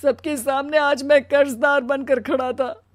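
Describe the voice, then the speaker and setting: A woman's voice: high-pitched, fairly even intonation, a little slow, no room sound, studio quality. A woman voicing a weary, put-upon young Indian husband in a cartoon story, plaintive and pleading. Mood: anguished. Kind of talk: Hindi cartoon story dialogue.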